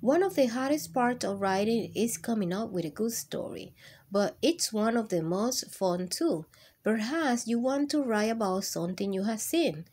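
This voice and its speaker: A woman's voice: a little high, lively intonation, normal speed, darkish tone, slightly rough. A woman voicing a kindly little female bird who teaches in a children's storybook, speaking in an encouraging, instructive way. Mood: reassuring.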